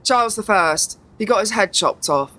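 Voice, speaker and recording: A woman's voice: medium pitch, animated, moderate pace, pushed and bright. A woman playing a sardonic, bored teenage girl in a radio play, dismissive and sceptical in manner. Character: sarcastic, sceptical, bored teenage granddaughter about to leave for university.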